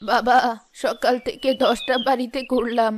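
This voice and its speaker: Elderly cartoon beggar woman, imploring and plaintive, fairly high voice, played by an actress. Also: flat delivery, moderate pace, slight background noise, natural voice. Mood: pleading. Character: poor old beggar grandmother, pleading and grateful. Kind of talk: cartoon moral drama dialogue.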